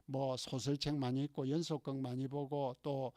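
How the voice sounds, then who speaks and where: A man's voice: medium pitch, level tone, moderate pace, warm tone, dry recording. A man from the Gyeongsang region with a Gyeongsang accent, preaching a conversational sermon as a pastor and asking the congregation rhetorical questions. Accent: Gyeongsang Korean. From Gyeongsang region, Korea. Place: church.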